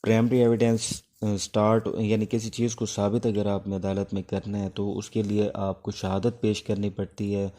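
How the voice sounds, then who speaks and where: A man with a Pakistani accent gives an informal exam-prep law lecture, mixing in English legal terms, in a halting, instructive way with pauses between phrases. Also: lowish voice, flat delivery, warm tone.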